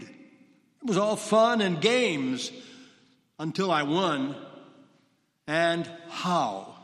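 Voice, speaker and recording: Man doing a grumbling Brooklyn cab driver, gruff wisecracking, high voice, slow drawl, echoey hall. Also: fairly bright tone, heavily acted. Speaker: stage actor.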